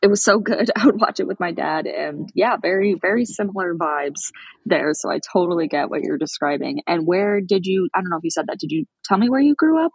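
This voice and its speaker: A woman hosting a podcast interview, bubbly and quick to agree with her guest, often exclaiming. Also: lowish voice, expressive, slightly rough, no room sound, low-quality recording. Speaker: podcast host. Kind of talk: casual interview chat.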